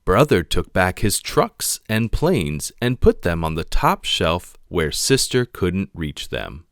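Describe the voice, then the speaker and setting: A man reading a children's picture book aloud in an expressive narrating style. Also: lowish voice, no room sound, studio quality. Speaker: storybook reader.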